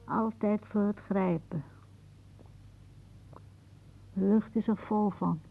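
An actress playing a weary, bitter older aunt in a radio drama, musing theatrically. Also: female voice, mid-range voice, fairly even intonation, unhurried, vocal fry, slightly rough. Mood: brooding, sardonic. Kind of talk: radio drama acting, monologue-like. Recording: muffled, narrow-band old radio recording.

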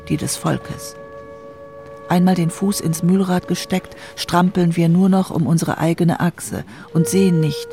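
Male disillusioned politician in a radio drama, heavily creaky, mellow, reflective monologue over music. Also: fairly high pitch. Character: disillusioned, reflective politician voicing inner doubts.

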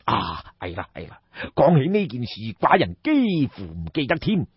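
A man's voice: medium pitch, wildly swooping pitch, moderate pace, breathy edge, voice pushed down, heavily acted. A man voicing an aging, ailing, credulous king of ancient China in an audiobook, sighing and marvelling. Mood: weary, ailing. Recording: dull, roomy audiobook recording.

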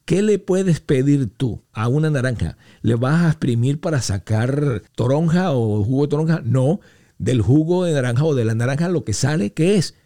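A man from Venezuela hosting a podcast, chatting in a relaxed way on a studio microphone and reading out listener messages. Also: mid-range voice, moderate pace. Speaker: podcast host. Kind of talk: casual podcast chat.

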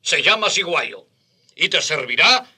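A man's voice: a little high, very animated, a little slow, bright, forceful tone, breathy edge, heavily acted. An actor playing a boastful, middle-aged Spanish father back from the colonies in a radio drama. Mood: proud, generous.

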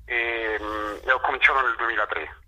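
A man with a Roman accent talks casually as an interview guest, halting and repeating words as he corrects himself. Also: mid-range voice, bright, forceful tone, poor audio.

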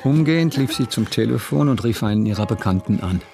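A man's voice: medium pitch, animated, fairly quick, vocal fry, darkish tone. A man narrating a radio drama as a composed literary storyteller. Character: composed male storyteller narrating in past tense. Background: music.

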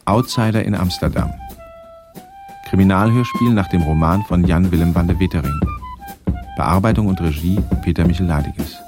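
A man's voice: deep voice, moderate pace, over music. A man announcing the title and credits of a crime radio play.

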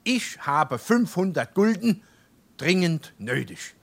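Aufbrausender hessischer Hausvater, eher hohe Männerstimme. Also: male voice, high-pitched, big pitch swings, slow, heavily acted. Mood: declaiming. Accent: Darmstadt Hessian. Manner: emphatic, declamatory, scolding. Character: blustering, indignant middle-aged townsman and head of household. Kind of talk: stage dialect comedy acting.

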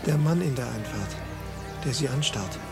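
Haunted young husband in audio drama, agitated, mid voice, big music underneath. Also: male voice, mid-range voice, animated, moderate pace, creaky. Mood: shocked. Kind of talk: radio drama acting. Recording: loud music behind the voice.

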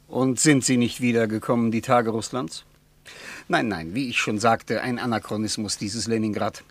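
A sober, sceptical man played by an actor who refuses to be impressed. He talks in a matter-of-fact, contrary way at a medium pitch, in a very dry radio-play recording. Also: steady tone, normal speed, no echo, natural voice. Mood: dismissive.

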